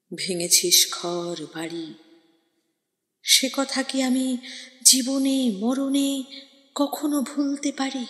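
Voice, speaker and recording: Slow, reverberant woman reciting poetry dramatically. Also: mid-range voice, a bit bright. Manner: theatrical, declamatory, with deliberate pauses. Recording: echoey, long reverb on voice.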